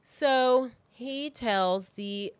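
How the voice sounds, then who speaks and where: A woman's voice: on the high side, very slow, clean tone, no room sound. A woman hosting a true-crime podcast, telling the story conversationally and informally, with asides, close to the mic. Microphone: close podcast microphone.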